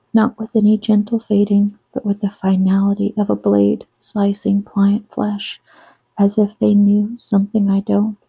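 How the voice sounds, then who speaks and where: Soft-spoken breathy woman with a very dark, low-fry voice. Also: dry recording, low-quality recording. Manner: soft, hushed and even, close to the mic. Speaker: author reading her own novel. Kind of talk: soft-spoken ASMR book reading.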